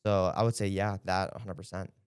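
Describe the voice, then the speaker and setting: Young male guest, low smooth voice, earnest and halting, dry close mic. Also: steady tone, no room sound. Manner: earnest, hesitant, many fillers. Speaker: interview guest, former intern. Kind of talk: casual interview answers.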